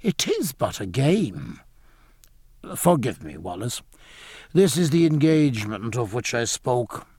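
Audiobook role: man as unhurried gentleman ship's doctor, formal and courteous, varied intonation, close dry sound. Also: medium pitch, sing-song, husky, no echo, exaggerated voice. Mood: calm. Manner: formal, measured, politely questioning. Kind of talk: audiobook character dialogue.

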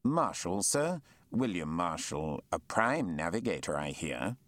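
A man's voice: low-pitched, big pitch swings, measured pace, fairly bright tone, no echo, heavily acted. A man voicing an eager, ingratiating shipping agent who speaks politely.

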